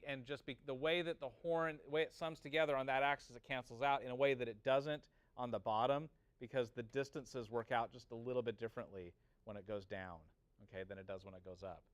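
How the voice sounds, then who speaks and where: A man's voice: medium pitch, fairly bright tone, clear, resonant tone, no echo. A man giving an informal technical lesson, thinking aloud and checking in with short questions. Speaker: teacher of audio engineering. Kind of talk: informal classroom lesson, working through a calculation aloud.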